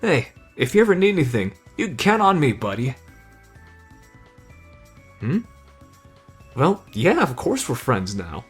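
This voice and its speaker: A man's voice: medium pitch, wildly swooping pitch, a little slow, pauses often, slightly rough, soft background music, heavily acted. A man playing an easygoing, friendly but tough teenage delinquent in an anime roleplay, casual and slangy. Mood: friendly upbeat. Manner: casual, slangy, addresses listener as buddy. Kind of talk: anime fan ASMR roleplay.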